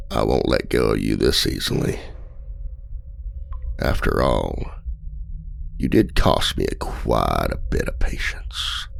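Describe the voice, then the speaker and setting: A man's voice: a bass voice, gravelly, fairly bright tone, a little hiss or hum, full character voice. An actor playing a charming, manipulative male outlaw and escape artist, with a flirty, teasing and smugly condescending manner in an ASMR roleplay. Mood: gloating.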